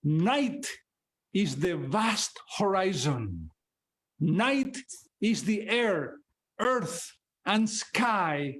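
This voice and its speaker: A man's voice: high voice, sing-song, drawn-out words, fairly bright tone. A man from Argentina with an Argentine accent, a poet and translator speaking and reading poems at an online open mic. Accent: Argentine Spanish.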